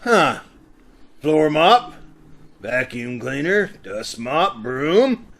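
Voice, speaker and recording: A man playing a gruff, suspicious police inspector in an old-time radio drama, sardonic and accusing, over a video call. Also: fairly high pitch, very animated, very slow, a bit bright, heavily acted. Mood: dry, suspicious. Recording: faintly noisy video call recording.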